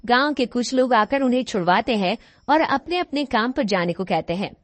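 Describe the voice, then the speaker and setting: A woman's voice: on the high side, expressive, moderate pace, no echo. A woman reading scripted story narration as the narrator of a tale.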